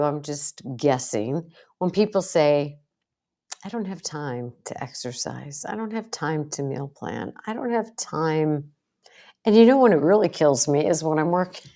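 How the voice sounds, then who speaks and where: A middle-aged American woman hosting a podcast, chatting casually in an emphatic, folksy way. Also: fairly low pitch. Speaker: podcast host. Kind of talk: casual conversational interview.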